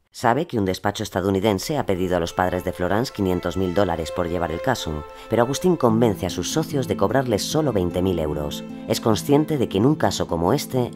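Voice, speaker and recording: A man delivering scripted documentary narration for an audio series. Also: deep voice. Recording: loud music under narration.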